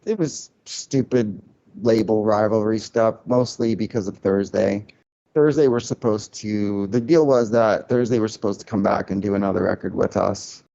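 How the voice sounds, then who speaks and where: Candid, low-voiced man reflecting in an interview. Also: moderate pace, creaky, warm tone, muffled recording. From Cuban family, grew up in a loud-talking city. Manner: candid, reflective, many restarts and "like" fillers. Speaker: record label founder. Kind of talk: casual podcast interview.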